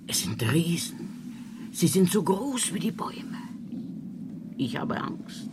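A frightened whispering dreamer. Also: male voice, high-pitched, big pitch swings, takes it slowly, full character voice. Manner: anxious hushed whisper. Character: frightened man who sees giants, radio dream play.

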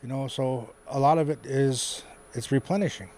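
A North American man speaks off the cuff in an interview at a medium pitch. Faint ambient noise sits behind his voice. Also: moderate pace, a little mellow. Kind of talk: unscripted interview talk.

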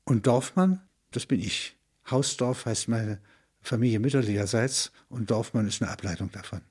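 Male narrator, mid-pitched and husky, literary reading with explanatory asides. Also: normal speed, a little mellow. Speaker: narrator and reader.

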